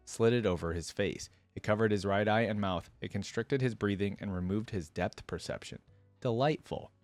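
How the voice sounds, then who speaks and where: A man's voice: lowish voice, dry recording. A man hosting a reaction video, informal in manner, moving between reading a story aloud and casual commentary.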